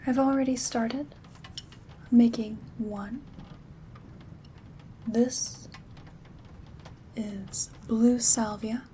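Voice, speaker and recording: A woman playing a gentle, caring witch herbalist in an ASMR roleplay, soft-spoken and often whispering in a soothing, intimate way. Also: a little high, fairly even intonation, extremely slow, long pauses. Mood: calm, caring. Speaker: ASMR performer. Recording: soft rain and handling noise. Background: rain sounds, craft rustling.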